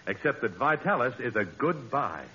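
Smooth persuasive pal, forceful bright voice, lively intonation, 1940s radio sponsor pitch, dull hissy sound. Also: male voice, moderate pace. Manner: crisp, persuasive salesman delivery. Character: smooth, flattering male pal pitching hair tonic. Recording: muffled, hissy old radio broadcast.

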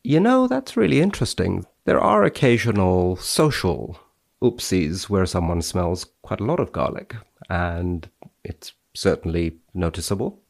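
A man's voice: deep voice, big pitch swings, measured pace, gravelly. A man, a researcher appearing as a podcast guest, reading studies dramatically and chatting about them.

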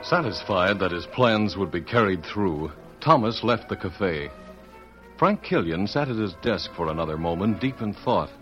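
A male narrator with a fairly low voice delivers scripted old-time radio western storytelling. The recording is dull, with faint music underneath. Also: lively intonation, moderate pace.

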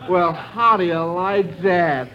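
A man's voice: sits high, takes it slowly. An American man hosting a 1940s radio quiz in a folksy, chatty way, addressing children and listeners as friends. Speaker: quiz show host. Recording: muffled vintage radio broadcast.

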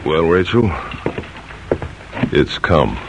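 An actor playing a resolute, middle-aged colonial patriot husband with a husky voice, earnest and gentle, in an old-time radio drama. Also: male voice, deep voice, big pitch swings, drawn-out words, slight background noise, full character voice, low-quality recording. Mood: firm, hurried.

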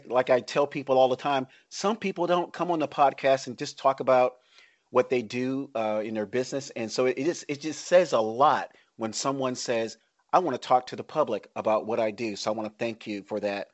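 Middle-aged man, American accent, podcast host, medium pitch, dry close sound. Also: fairly even intonation, brisk, dry recording, dull audio. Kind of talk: podcast hosting, scripted intro and interview chat.

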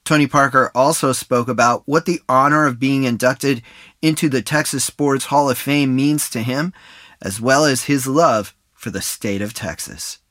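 Man, podcast host, scripted narration, smooth read, dry studio sound. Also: medium pitch, normal speed, a bit bright, no echo. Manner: smooth read-aloud delivery.

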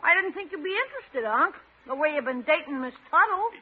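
An actor playing a wisecracking, cheeky young nephew in a vintage radio comedy. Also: male voice, extremely high pitch, animated, fairly quick. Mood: teasing. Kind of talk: 1940s-50s radio comedy acting. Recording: tinny, noisy vintage radio recording.

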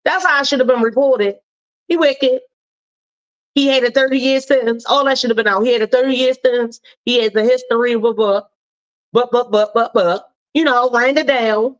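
A talkative woman hosting a live show, emphatic and full of slang, her voice sitting a bit high. She sounds a little stuffed up, and the stream audio is dull and lo-fi. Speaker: host. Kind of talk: casual live-show chat and commentary.

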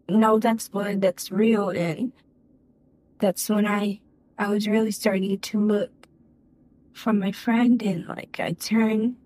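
A woman giving courtroom testimony in a halting, hesitant way, with many restarts and fillers. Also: on the high side, mellow, rough recording. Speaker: witness.

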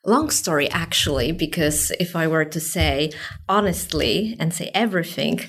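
A woman with a low voice, a Japanese native speaker, gives long answers as an academic guest on a podcast. She speaks with care and often pauses to choose her words. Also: animated, a bit bright, slightly rough. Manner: careful, searching for words. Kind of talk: interview answers.

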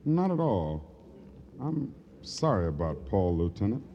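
Low-voiced, evasive change-booth clerk. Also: male voice, sing-song, measured pace. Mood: defensive, rueful. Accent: American. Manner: hesitant, folksy, hedging. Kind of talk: 1950s radio crime drama acting. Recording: noisy, muffled vintage radio recording.

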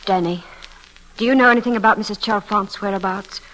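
A woman's voice: medium pitch, a little fast, a bit bright, natural voice. An actress playing an intense, suspicious young woman in a 1940s radio drama, earnest and pressing. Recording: noisy vintage 1940s radio recording.

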